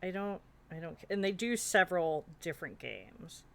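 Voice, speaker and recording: A woman in casual panel chat, speaking haltingly and correcting herself as she goes. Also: on the low side, sing-song, vocal fry, a bit bright. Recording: faint background noise.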